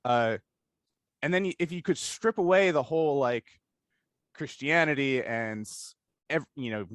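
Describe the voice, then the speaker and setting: A man's voice: mid-range voice, dry recording. Man thinking aloud as interview host, dry sound. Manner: halting, thinking aloud, rambling. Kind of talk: casual discussion interview.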